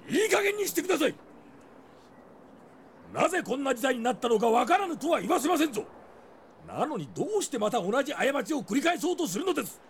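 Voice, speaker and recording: An actor playing a stern, devoted older knight commander in a fantasy audio drama, speaking in formal, archaic phrases. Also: male voice, extremely high pitch, sing-song, a little fast, pauses often, slight background noise, exaggerated voice. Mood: reproachful, impassioned.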